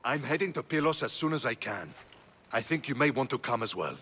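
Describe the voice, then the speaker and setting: An actor playing a grave, proud middle-aged Spartan general in a video game, measured and earnest. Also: male voice, medium pitch, lively intonation, bright, forceful tone, husky. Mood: grave, courteous. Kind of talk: video game cutscene acting.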